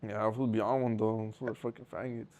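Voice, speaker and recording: Young man, interview guest, rapid rambling delivery, heavy slang and profanity. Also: fairly low pitch, level tone, a little mellow. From Southern California. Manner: rambling, profane, street slang, restarts often. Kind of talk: casual storytelling with heavy slang.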